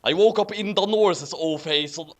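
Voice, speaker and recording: Man acting teenage goth girl narrator, melodramatic, theatrical, raised pitch, storybook-style reading. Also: normal speed, close to an everyday voice.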